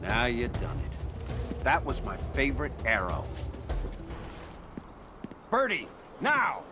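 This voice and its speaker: An irreverent American video game archer. His mid-pitched voice moves around a lot as he tosses off sardonic wisecracks. Loud music runs underneath. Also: wildly swooping pitch, takes it slowly. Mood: cocky, annoyed. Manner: flippant, sardonic. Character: wisecracking cocky male superhero archer, irreverent. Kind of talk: video game cutscene acting.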